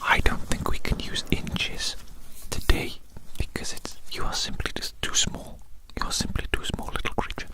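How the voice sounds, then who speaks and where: A person whispering an ASMR roleplay in a breathy, unvoiced whisper, fussy and methodical, often repeating phrases softly. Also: moderate pace. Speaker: ASMR performer playing an observer measuring a little creature.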